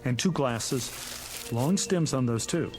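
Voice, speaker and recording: An actor playing a lovesick, desperate, impetuous suitor, eager and effusive, in a vintage television drama. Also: male voice, medium pitch, animated, slow pace, music in the background. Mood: excited.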